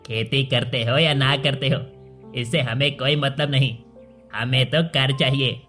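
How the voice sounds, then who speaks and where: A man acts out a sly, greedy minister of a royal court in a cartoon tale. His voice is forceful and sharp, servile on the surface and conniving underneath, with music playing behind it. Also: medium pitch, steady tone, unhurried, lightly acted. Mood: brusque. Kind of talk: Hindi cartoon story acting.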